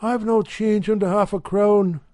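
A man putting on a sly, high-voiced wife. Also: fairly even intonation, measured pace, darkish tone, no echo, studio quality. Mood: coaxing. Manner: insidious, cat-like wheedling. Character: plump, sly working-class wife.

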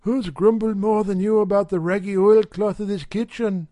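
Plump, sly wife, high and purring, voiced by a man reading a story. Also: steady tone, moderate pace, mellow, dry recording, lightly acted. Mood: coaxing. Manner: insidious, cat-like wheedling.

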